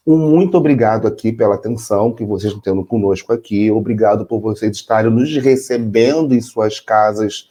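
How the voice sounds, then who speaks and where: A middle-aged man from Brazil co-hosting a casual chat, explaining with rhetorical questions to the audience. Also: on the low side. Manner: relaxed, chatty, says "entendeu?" often. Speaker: co-host.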